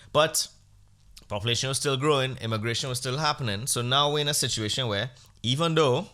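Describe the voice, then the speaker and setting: A man's voice: mid-range voice, sharp tone. A man hosting a podcast, talking in a blunt, sarcastic rant. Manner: blunt, ranting, sarcastic, profane. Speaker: podcast host. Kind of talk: casual opinionated podcast monologue.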